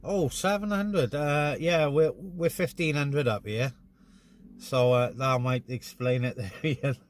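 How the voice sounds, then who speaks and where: A man's voice: mid-range voice, slow pace. A man chatting casually and jokily on CB radio while filming outdoors, in a matey way. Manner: chatty, matey, joking exaggeration. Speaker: vlogger and CB radio hobbyist. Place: outdoors on a busy mountain top. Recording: clear vehicle and outdoor noise.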